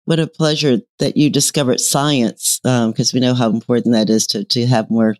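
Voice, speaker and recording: A woman hosting an interview podcast, encouraging and unhurried, with halting, searching phrasing. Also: very deep voice, fairly even intonation, darkish tone, no echo.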